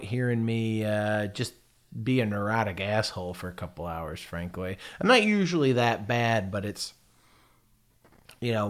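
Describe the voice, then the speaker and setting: A man's voice: lowish voice, fairly bright tone. An American man with a noticeable lisp, talking casually to his viewers in a rambling, profane, self-mocking way. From United States. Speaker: vlogger. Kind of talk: casual chat.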